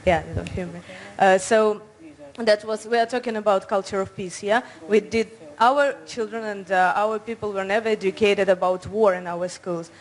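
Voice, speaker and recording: A woman's voice: mid-range voice, fairly even intonation, rough recording. Woman commenting from the floor. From Ukraine. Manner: earnest, halting, non-native phrasing. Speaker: audience member.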